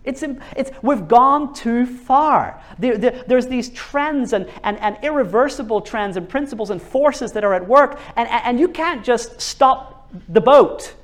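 A man's voice: extremely high pitch, expressive, measured pace. A man preaches a sermon in an earnest, urgent way. He often repeats words as he builds momentum. He turns to his listeners with questions to draw them in. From Norway.

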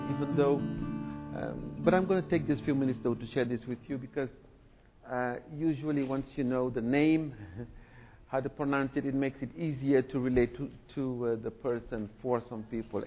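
A man's voice: medium pitch, normal speed, faint music behind. Middle-aged man speaking in a reverberant hall. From Senegal, raised in France. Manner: relaxed, conversational with fillers and asides. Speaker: speaker, church representative. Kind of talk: sermon-style lecture. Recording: dull sound with long hall reverb.

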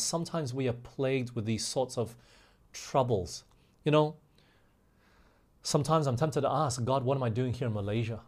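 A man preaching a sermon in an emphatic way, slowing down on key phrases and putting rhetorical questions to his listeners. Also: medium pitch, a little mellow. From grew up overseas in England, Australia and the US.

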